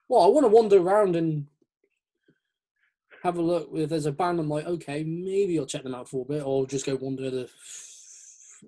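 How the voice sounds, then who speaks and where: A man's voice: fairly high pitch, warm tone. A man in a casual, rambling, jokey chat, acting out little quotes as he goes. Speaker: host of a metal chat show.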